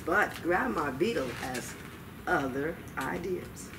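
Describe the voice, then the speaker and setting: A middle-aged woman reading aloud to young children, expressive and dramatic, with little asides to her listeners. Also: low voice, takes it slowly. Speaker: library storytime reader. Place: small children's room indoors. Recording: distant mic, noisy room ambience.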